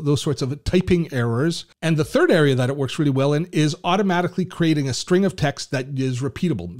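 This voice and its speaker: Man, 50s, Canadian accent, tech tutorial host, enthusiastic, chatty, slightly higher voice, close studio mic, home office. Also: a little mellow. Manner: chatty, enthusiastic, self-deprecating asides. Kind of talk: screen-share software tutorial. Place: home office with shelves and artwork. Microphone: large studio mic on a boom arm.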